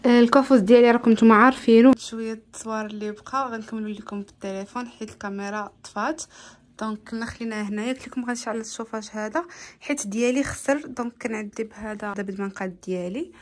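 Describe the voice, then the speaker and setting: A woman in her twenties with a Moroccan accent, chatting casually to the camera in an informal home vlog, with French loanwords mixed in. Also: fairly high pitch. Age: 20s. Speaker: lifestyle vlogger. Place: indoors in a bedroom at home. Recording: home recording with clear background noise.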